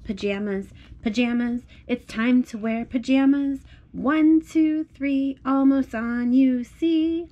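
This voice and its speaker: High-pitched woman reading a bedtime storybook. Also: takes it slowly, hardly any pauses, lo-fi sound. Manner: encouraging, sing-song, simple repeated phrases. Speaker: parent reading a bedtime picture book to a small child. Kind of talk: child-directed storybook read-aloud. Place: indoors at home, on a blanket.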